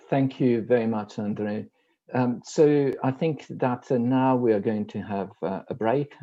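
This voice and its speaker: A man's voice: fairly low pitch, warm tone, lo-fi sound. A man moderating an online meeting, thanking a speaker and announcing a break with many hesitant fillers.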